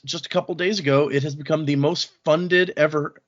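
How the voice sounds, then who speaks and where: A man's voice: on the high side, fairly even intonation, brisk, highs cut off. A man chatting casually as a podcast host.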